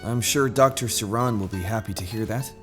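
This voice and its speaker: A man playing a frustrated, earnest grown son pleading with his father in an animated comic dub, in an exasperated manner. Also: on the low side, fairly quick, lightly acted. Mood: guarded, polite. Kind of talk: animated comic fandub acting. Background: music.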